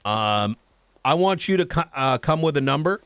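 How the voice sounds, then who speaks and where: A man's voice: fairly high pitch, expressive, normal speed, fairly bright tone, clean tone, dry recording. A man playing a blunt, foul-mouthed police chief in an improvised roleplay argument, talking casually and argumentatively. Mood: firm, insistent.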